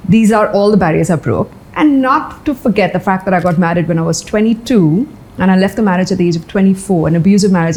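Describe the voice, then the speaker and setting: Earnest woman with a mellow voice. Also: lowish voice, rough recording. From India. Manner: earnest, reflective, frequent "you know". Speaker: interview guest. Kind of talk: candid personal interview.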